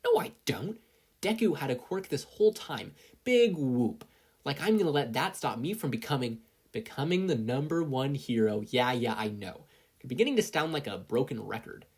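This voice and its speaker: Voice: mid-range voice, lively intonation, normal speed, husky, pitched above the performer's own voice. A reader voicing a brash, stubborn teenage boy rival in a story, grumbling with exasperated sarcasm.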